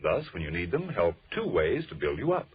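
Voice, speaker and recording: Vintage radio pitchman, male, low with big melodic swings, formal and emphatic, muffled recording. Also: huge pitch swings, a little fast. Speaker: radio announcer and sponsor pitchman. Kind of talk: 1940s radio announcing.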